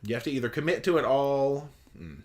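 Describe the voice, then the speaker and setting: A man's voice: mid-range voice, lively intonation, fairly quick. A man co-hosting a casual livestream, reading out viewer comments and joking around. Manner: jokey, teasing. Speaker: livestream co-host.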